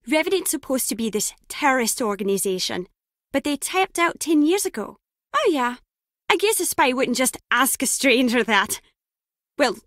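Game role, excitable, nosy young woman: high, bright, chatty and blunt, dry close sound. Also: no echo. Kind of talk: video game voice acting.

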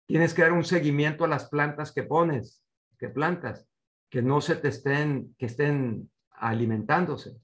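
A man's voice: a little high, measured pace. An older man giving an online finance seminar as a coach, speaking didactically with short instructions and rhetorical questions over a video call. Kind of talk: online seminar teaching with slides. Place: indoor room at home, on a video call.

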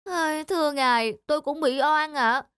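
A woman's voice: sits high, moderate pace, a bit bright, no room sound, clean studio sound. A woman voicing a wheedling male convict in a cartoon, pleading and whining in false innocence. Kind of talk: scripted cartoon dub. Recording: music under the dialogue.

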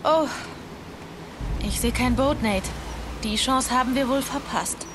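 An actress playing a curious, skeptical young adventurer with a lively, teasing manner in a German video game dub. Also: female voice, high-pitched, takes it slowly, background noise.

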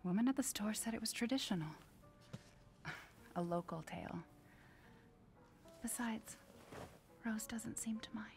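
A woman playing a firm, defensive young mother in a video game, with a hushed, dramatic delivery. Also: on the high side, a little slow, pauses often, over music. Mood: defensive, curt. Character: wife and mother, firm, defensive, reading storybook tale.

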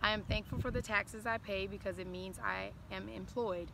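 A woman's voice: medium pitch, steady tone, normal speed. A middle-aged woman giving an informal address and reading aloud, recorded outdoors. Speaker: former school counselor, guest. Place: outdoors on an open lawn. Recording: clearly noisy outdoor recording.

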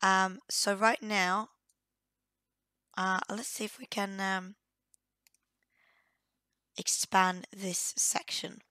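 A woman with a croaky, cold-hoarse voice, giving casual, hesitant let's play commentary as she thinks aloud. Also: mid-range voice, level tone, drawn-out words, pauses often, pushed and bright, dry recording, clean studio sound. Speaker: gaming video host.

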